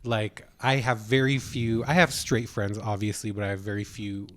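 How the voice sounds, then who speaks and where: A man's voice: on the low side, flat delivery, moderate pace, fairly bright tone. A young man from Utah hosting a podcast, chatting casually and animatedly, cursing and laughing easily. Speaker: podcast host. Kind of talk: casual chat.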